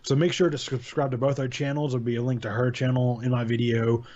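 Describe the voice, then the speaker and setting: A man's voice: medium pitch, fairly even intonation, quick pace, warm tone. Guy hosting a chat about creepy stories, rambly, laughs a lot, kinda muffled lo-fi sound. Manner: rambling, laughs often, repeats words. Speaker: channel host. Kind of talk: casual chat reviewing horror stories.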